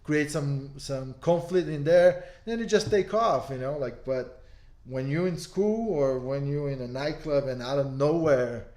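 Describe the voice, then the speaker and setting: A man's voice: a little high, unhurried, darkish tone, echoey room. A man from Brazil hosting a podcast, talking casually and rambling with lots of "you know, like" fillers. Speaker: podcast host. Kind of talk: casual conversation.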